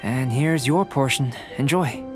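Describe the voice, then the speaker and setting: An actor playing a calm, polite young researcher in a video game, speaking in a measured, analytical, reserved way. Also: male voice, mid-range voice, lightly acted. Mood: reassuring. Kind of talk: English video game voice acting. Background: background music.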